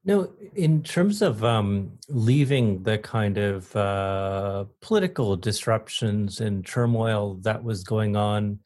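A man hosting a podcast interview, asking his questions in a halting, hesitant way. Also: lowish voice, a little mellow. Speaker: podcast host.

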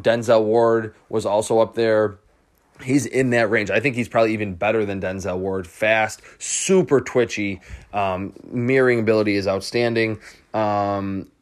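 A man with a fairly low voice works through football questions alone as a podcast host. He speaks off the cuff, thinking aloud in short, note-like phrases. Small hesitations break up his analysis as he goes. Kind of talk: off-the-cuff solo football commentary, answering questions.

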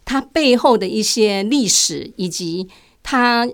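A woman's voice: medium pitch, animated, slow pace. An older retired woman with a Taiwanese Mandarin accent, answering interview questions conversationally as a guest and sharing her own experiences.

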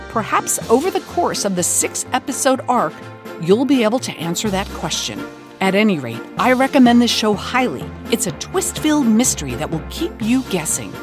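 A woman's voice: fairly high pitch, sing-song, moderate pace, over music. A woman podcast creator giving a scripted promotional introduction with playful mock-seriousness.

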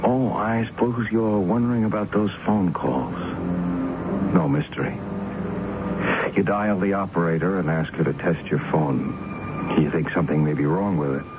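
A man's voice: sits low, normal speed, background noise, natural voice. An actor playing a lonely, weary widower who tells his story in a dry, confiding way in a 1970s radio drama. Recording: dull old radio broadcast with music.

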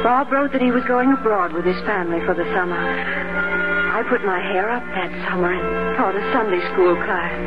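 An actress playing a gentle, faithful young preacher's daughter from a small town, earnest and wistful, in 1950s radio drama style. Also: female voice, medium pitch, lightly acted. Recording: muffled vintage radio broadcast with music.

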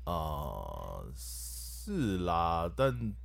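A man's voice: sits low, wildly swooping pitch, very slow, gravelly. A man who writes radio drama scripts, talking hesitantly and wryly in a podcast chat.

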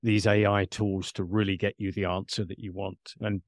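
A man's voice: lowish voice, flat delivery, normal speed, dry recording, clean studio sound. A man hosting a podcast interview in a conversational, agreeable and affirming way. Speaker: podcast host.

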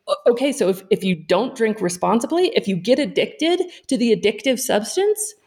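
A woman's voice: on the high side, expressive, moderate pace, a little mellow. A woman in an impassioned, casual discussion, speaking emphatically and wandering into tangents. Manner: emphatic, opinionated, goes off on tangents.

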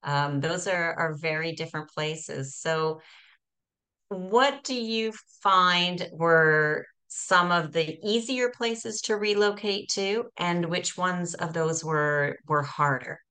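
A woman hosts a podcast interview in a fairly low voice with a bright, forceful edge. She reads a guest's introduction and then asks her questions, restarting a few words here and there. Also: slow. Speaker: podcast host. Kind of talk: interview hosting.

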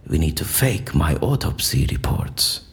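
An actor playing a cunning captive man in an audio drama, speaking in a deliberate, mysterious, theatrical manner. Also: a bass voice, big pitch swings, heavily acted. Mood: bargaining, assured.

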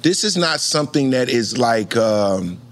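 A man's voice: mid-range voice, expressive, unhurried. A man hosting a casual chat, talking emphatically and repeating himself to make a point.

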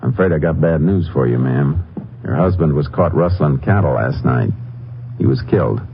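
A man acting as a stern, determined American Texas Ranger in a 1950s radio drama, speaking in a terse, deadpan way. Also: a bass voice, moderate pace, slightly rough, full character voice. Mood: firm, official. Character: stern, determined male Texas Ranger leading a murder hunt. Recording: muffled old radio recording with music.

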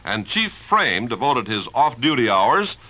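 A man's voice: medium pitch, very animated, unhurried, bright, forceful tone, poor audio. A man reading scripted narration as a 1950s radio announcer.

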